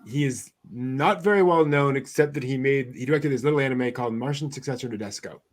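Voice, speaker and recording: Casual male news-show host, mid-range voice, conversational and slightly halting. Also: medium pitch.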